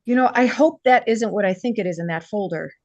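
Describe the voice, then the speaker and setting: Hopeful small-town heroine, very dry sound actress. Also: female voice, mid-range voice, brisk, darkish tone, no room sound, dull audio, lightly acted. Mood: firm. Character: persuasive, hopeful small-town woman defending her community. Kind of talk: table read of a holiday romance script.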